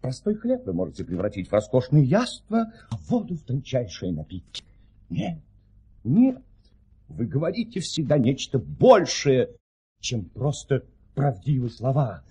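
Excitable count with a husky voice. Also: male voice, mid-range voice, unhurried, full character voice. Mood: impassioned. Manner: effusive, theatrical. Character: excitable, reverent count, devoted admirer of a baron. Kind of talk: Soviet radio play acting.

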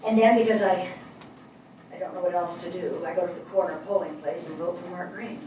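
A woman's voice: lowish voice, fairly even intonation, a little fast, dark tone, close to an everyday voice. An older woman on stage acting a reflective, wry middle-aged journalist from New York in a dramatic monologue. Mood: wry, sober. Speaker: stage actress in a dramatic reading. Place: indoor small theatre stage. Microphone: far camera. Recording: echoey theatre, distant mic, faint noise.

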